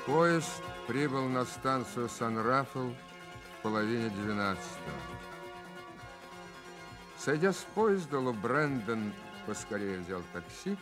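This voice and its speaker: Expressive male narrator over music. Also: medium pitch, a little slow. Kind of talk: scripted radio drama narration.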